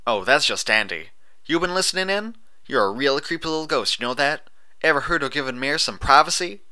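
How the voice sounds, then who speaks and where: A man's voice: on the high side, lively intonation, a little fast, bright, forceful tone, smooth, pitched above the performer's own voice. A man voicing a feisty, blunt female farm pony with folksy, defiant talk in an audiobook story. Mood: indignant. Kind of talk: audiobook character voice.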